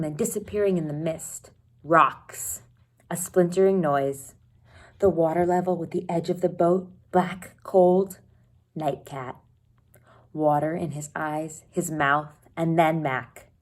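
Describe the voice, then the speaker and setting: A woman teacher reading a children's novel aloud, expressively and deliberately, one phrase at a time. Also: deep voice.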